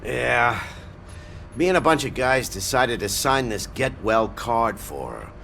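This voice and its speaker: A man's voice: medium pitch, sing-song, unhurried, vocal fry, pushed and bright, a little hiss or hum, heavily acted. An actor playing a friendly, earnest policeman in a video game, talking informally with some hesitation.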